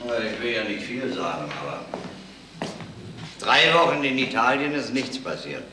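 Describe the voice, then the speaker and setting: A man's voice: medium pitch, big pitch swings, normal speed, lightly acted. Actor as a swooping, indignant male tourist. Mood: chatty, sceptical. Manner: complaining, quarrelsome. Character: indignant male tourist whose bus vanished, bickering. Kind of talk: radio crime play acting. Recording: echoey, noisy 1970s radio recording.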